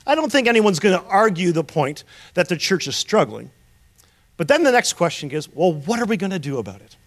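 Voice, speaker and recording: A man from Canada preaching a sermon, telling stories and asking rhetorical questions. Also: high voice. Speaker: pastor.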